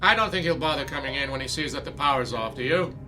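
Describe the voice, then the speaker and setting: A man's voice: mid-range voice, quick pace, over music, lightly acted. An actor playing a stern, exasperated male theatre director in a radio drama, commanding and sardonic. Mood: weary, sardonic.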